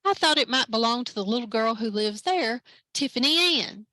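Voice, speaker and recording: A woman's voice: on the high side, animated, normal speed, sharp tone, no room sound. A woman reading aloud voices an easygoing, chatty grown man in a picture book. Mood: sheepish.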